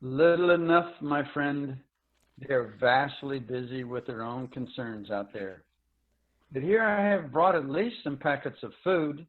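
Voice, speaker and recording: A man plays a worn-out starship commander, somber and resigned. He speaks in measured, solemn phrases as in a staged reading. The sound is narrow and phone-like, as over a video call. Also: mid-range voice, natural voice. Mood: weary. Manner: measured, solemn dramatic delivery. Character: tired space commander Lars, aged by voyage, somber. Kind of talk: dramatic virtual play reading.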